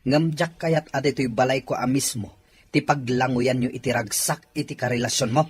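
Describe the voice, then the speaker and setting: A man playing a stern, indignant husband in a drama, speaking in a forceful, accusing manner. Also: medium pitch, fairly even intonation, moderate pace, breathy edge, natural voice. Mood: angry, accusing. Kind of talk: Ilocano radio-style drama acting.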